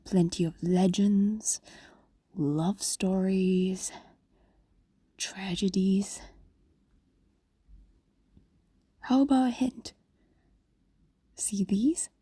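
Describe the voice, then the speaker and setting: Casting: actress for a doting, possessive fox spirit in fantasy ASMR drama; soft mellow tone, breathy coaxing whisper, soothing and ageless. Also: female voice, mid-range voice, very slow, pauses often, no echo. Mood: teasing, coaxing. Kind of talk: intimate fantasy audio roleplay, ASMR.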